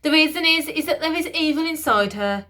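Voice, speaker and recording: A woman's voice: high voice, expressive, brisk, bright, forceful tone, voice pushed up. Woman playing an oily scheming courtier. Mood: smarmy. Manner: oily, falsely soothing, pompous. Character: smooth scheming male Lord Protector, older courtier.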